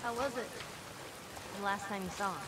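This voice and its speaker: A woman's voice: mid-range voice, normal speed, over loud music, lightly acted. A woman voicing a curious, cheeky teenage girl with an American accent in a video game. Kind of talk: naturalistic video game acting.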